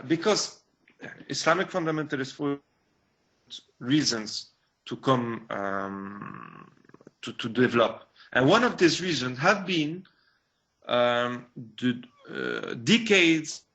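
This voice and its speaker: A young man from Syria, a guest activist in a video call interview, speaking earnestly and haltingly with many fillers and restarts. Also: mid-range voice, bright, forceful tone. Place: small room indoors. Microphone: video call webcam. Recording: dull lo-fi call audio.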